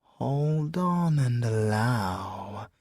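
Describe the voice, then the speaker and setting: A British man voicing a possessive, doting siren lover in an intimate ASMR roleplay, breathy and seductive with lingering pauses. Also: medium pitch, lively intonation, a little mellow, no room sound, studio quality, heavily acted. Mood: soothing, hushed. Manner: slow, breathy, seductive crooning with drawn-out pauses.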